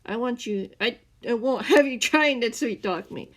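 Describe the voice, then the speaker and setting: Woman playing a gruff male captor, loud, snapping, growling, jumpy pitch. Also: sing-song, normal speed. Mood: angry.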